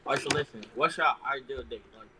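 A man's voice: medium pitch, fast talker. An eighteen-year-old man from Los Angeles on a video call, slangy and rambling with lots of fillers. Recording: noisy call line.

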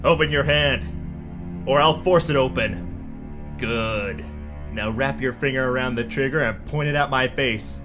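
A man's voice: medium pitch, measured pace, music in the background, lightly acted. A man playing a tough, swaggering pulp adventurer with hard-boiled delivery in a radio serial parody. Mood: taunting, commanding.

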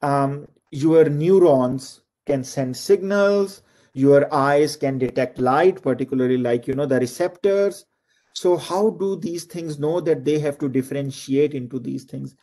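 A man's voice: fairly high pitch, unhurried, mellow. A middle-aged man from Pakistan giving an online lecture from home over a video call, chatty and full of "like, you know" fillers. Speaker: university lecturer.

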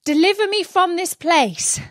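A woman's voice: extremely high pitch, animated, measured pace, no echo, studio quality, pitched above the performer's own voice. A woman voicing a plucky, fearless young boy in a storybook, calling out earnestly.

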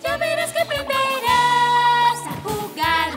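A woman's voice: very high voice, very animated, slow pace, full character voice. A woman voicing a cheerful young girl singing a children's cartoon song with friends. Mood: cheerful, encouraging. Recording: loud backing music.

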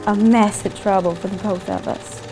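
A woman's voice: medium pitch, steady tone, moderate pace, over music, natural voice. An actress playing a wary young 1940s woman with a secret love, confiding. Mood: guarded. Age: young adult. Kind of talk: film monologue as 1940s interview.

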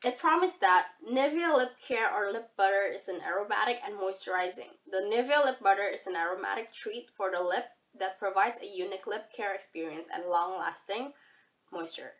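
Young female beauty vlogger, husky cold-roughened voice, upbeat, rambling delivery, recorded on a camera close by. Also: medium pitch, expressive, fairly quick, a bit bright. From Indonesia. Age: young adult. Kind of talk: casual product review vlog. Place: bedroom, indoors. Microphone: camera facing her at arm's length.

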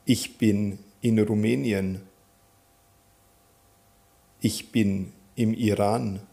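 A man teaching a German lesson, calmly naming countries and repeating example sentences for learners to follow. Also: on the low side, very slow, gravelly, breathy edge. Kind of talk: slow, clear teaching with drill repetitions.

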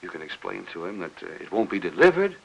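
An actor in his sixties with an American accent playing a courteous, rule-bound post office official, measured and formal, in a fifties film. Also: deep voice, sing-song, gravelly, breathy edge, highs cut off, full character voice. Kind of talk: 1950s film acting. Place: office set. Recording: hissy old 1950s film soundtrack.

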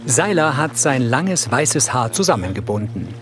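Guy narrating scenes for an audio drama, medium pitch, music behind him. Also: very animated, unhurried. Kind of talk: scripted present-tense narration.

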